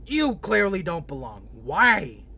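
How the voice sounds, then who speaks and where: Crazy ancient vampire prisoner, flirty n taunting, swoopy sharp voice, audio roleplay. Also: male voice, sits high, wildly swooping pitch, slow pace, gravelly, husky, exaggerated voice. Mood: curious, probing. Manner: taunting, flirtatious, theatrical, with mock-sweet endearments. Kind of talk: scripted character roleplay audio.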